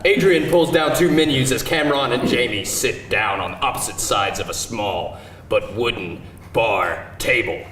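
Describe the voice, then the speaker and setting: A man's voice: medium pitch, moderate pace, fairly bright tone, faint music behind. A man narrating stage directions from a script at a live screenplay table read. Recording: distant mic, echoey live room, faint noise.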